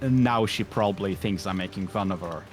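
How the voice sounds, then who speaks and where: A man's voice: sits low, normal speed, faint music behind. A man, chatting casually on a game stream with many fillers and restarts. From Norway. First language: Norwegian.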